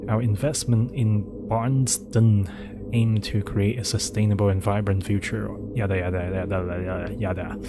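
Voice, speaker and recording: Lowish-voiced male streamer, chatty. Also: fairly even intonation, normal speed, very noisy. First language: Cantonese. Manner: chatty, answers live chat questions; reads documents aloud, then skips ahead with asides. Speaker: streamer playing a horror game. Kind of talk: casual gameplay commentary, reading in-game text. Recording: game music behind the voice.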